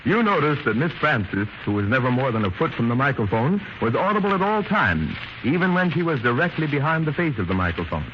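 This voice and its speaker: A man's voice: medium pitch, sing-song, brisk, hardly any pauses. A man presenting as a 1930s radio announcer in a formal, precise, instructive manner. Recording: noisy muffled 1930s radio recording.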